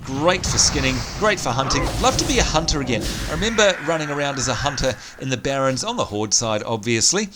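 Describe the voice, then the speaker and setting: A man's voice: mid-range voice, animated, a little fast, few pauses, very noisy. Strained-voiced man doing game commentary. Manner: chatty, upbeat, thinking aloud. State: strained, nearly lost voice. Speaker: let's play host. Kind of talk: gameplay commentary. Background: game sounds and music.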